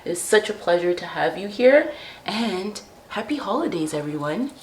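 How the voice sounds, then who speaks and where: A woman's voice: medium pitch, very animated, moderate pace, slight background noise. A woman hosting a children's show in an upbeat, exclamatory way, speaking directly to young viewers. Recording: echoey room with music behind. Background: background instrumental music.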